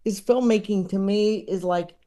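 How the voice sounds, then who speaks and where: A man speaking as an interview guest, casually discussing comedy in a halting, chuckle-prone conversational way. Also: high-pitched, dark tone.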